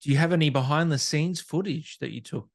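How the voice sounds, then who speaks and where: A man's voice: a little high, a little fast, gravelly. An Australian man hosting a casual interview in an enthusiastic, informal and teasing way. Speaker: podcast host, interviewer. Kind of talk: casual chat.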